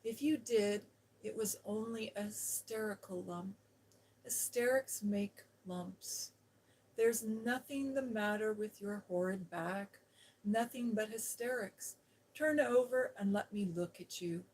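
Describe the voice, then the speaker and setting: A woman voicing a fierce, bossy little girl who shouts and scolds. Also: medium pitch, steady tone, slow, hardly any pauses, slight background noise, close to an everyday voice, poor audio. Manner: shouting, commanding.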